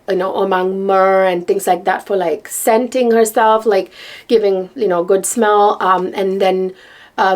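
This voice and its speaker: A middle-aged woman from Sri Lanka, talking excitedly to camera in a rambling, repetitive way. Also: medium pitch. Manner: excitable, rambling, repeats words. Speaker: vlogger. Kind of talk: casual chat to camera.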